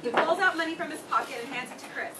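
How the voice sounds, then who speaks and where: A woman's voice: sits high, fast talker. A woman reading stage directions as the narrator of a scripted play. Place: theater stage, recorded from the audience. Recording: distant, echoey, noisy live theater recording.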